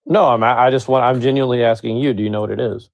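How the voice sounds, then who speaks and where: A man's voice: medium pitch, steady tone, quick pace, gravelly, no room sound, muffled recording. A man calling into a live stream, questioning earnestly and hesitantly, often repeating his words. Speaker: caller. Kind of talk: earnest argument on a live call.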